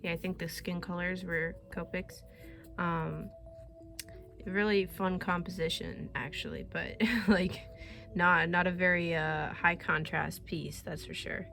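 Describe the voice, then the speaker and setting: A woman's voice: fairly low pitch, little pitch movement, unhurried, gravelly, sharp tone, faint music behind. A young woman artist chatting casually and rambling, laughing often, getting over a cold. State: recovering from a cold. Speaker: artist vlogger. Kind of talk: casual chat.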